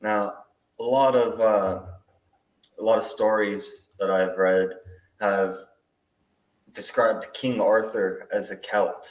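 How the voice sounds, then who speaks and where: Male host, very low voice, relaxed and thoughtful; roomy echo, off-mic. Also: slow, pauses often, far from the mic. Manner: relaxed, thoughtful, with frequent fillers. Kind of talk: casual video call chat. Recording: echoey room, away from mic.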